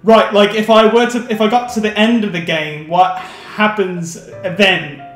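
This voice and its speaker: A man's voice: sits high, steady tone, a little slow, fairly bright tone. A man hosting a let's play, chatting casually and joking with a tongue-in-cheek, teasing manner. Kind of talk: casual joking game commentary. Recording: roomy echo with music behind. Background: music.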